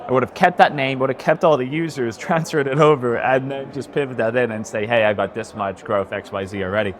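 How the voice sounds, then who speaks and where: A man's voice: medium pitch, a little fast. A young man with an Irish accent talking casually as a startup founder being interviewed. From born in America, grew up in Ireland. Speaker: podcast guest, startup founder. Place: indoor event venue, recorded in person.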